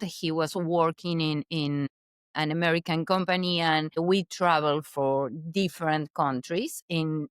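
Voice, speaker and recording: Slow-speaking woman. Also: lowish voice, fairly bright tone, smooth, no room sound, studio quality. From Chile. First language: Spanish. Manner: careful, halting English with pauses and restarts. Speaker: guest, business consultant. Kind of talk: casual interview chat.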